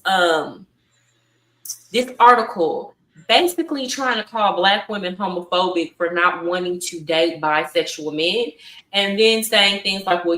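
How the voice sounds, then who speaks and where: A woman with a bright, forward voice speaks her mind in a podcast discussion. She is conversational and outspoken, and the sound is roomy, picked up some way from the mic. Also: lowish voice. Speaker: podcast panelist. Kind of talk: podcast debate, casual chat. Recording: roomy echo, distant from the mic.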